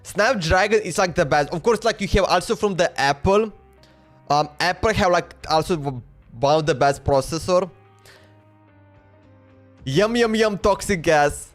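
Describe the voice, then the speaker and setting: A man gives casual live commentary on a game. His voice is high and unhurried, and he is playful, often repeating little phrases. Music plays behind him. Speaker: game streamer.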